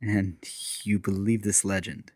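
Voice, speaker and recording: A man's voice: on the low side, flat delivery, a little slow, a little mellow, no echo. Suave secret agent, close dry voice, acted by a man. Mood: guarded curiosity. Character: male steampunk secret agent, suave, guarded, flirtatious. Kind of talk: dramatic audiobook dialogue.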